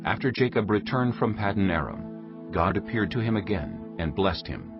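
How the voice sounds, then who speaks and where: A man's voice: sits low, big pitch swings, normal speed, creaky. A man narrating scripture from a script in a solemn storytelling manner. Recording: muffled audio under music. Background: music.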